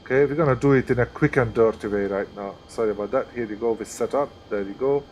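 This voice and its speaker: A man's voice: medium pitch, fast talker, darkish tone, slight background noise. A man with a Hungarian accent, streaming live and commenting casually as he thinks aloud through each step. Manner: chatty, thinks aloud while working through steps. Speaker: flight sim streamer.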